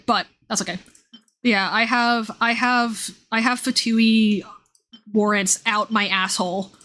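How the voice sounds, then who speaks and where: A woman's voice: fairly high pitch, bright, forceful tone. A woman live streamer chatting casually with viewers about a game, rambling in an informal, slangy way. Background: music.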